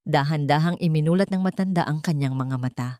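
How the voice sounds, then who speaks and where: A woman's voice: low-pitched, brisk, warm tone, no room sound, studio quality. A woman reading a scripted story as a dramatic narrator.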